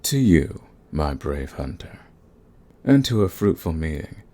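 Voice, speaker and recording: A man playing a suave, sadistic vampire lord in an ASMR roleplay, courtly and menacing, with a gravelly, husky voice. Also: rumbling low, sing-song, warm tone, exaggerated voice. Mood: smug, courtly. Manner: courtly, purring, slow and menacing. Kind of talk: ASMR roleplay, scripted monologue to the listener.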